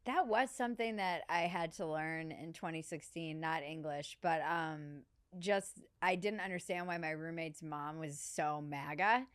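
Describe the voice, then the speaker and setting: A woman guest on a podcast, telling a story in a measured, conversational way. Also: low-pitched, clean tone, no echo, clean studio sound. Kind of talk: casual podcast chat.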